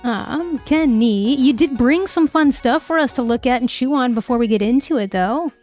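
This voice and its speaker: Woman livestream host, casual and swearing, higher voice, light music in background. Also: lively intonation, moderate pace, darkish tone. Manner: loose, profane, laughing. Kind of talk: casual chat.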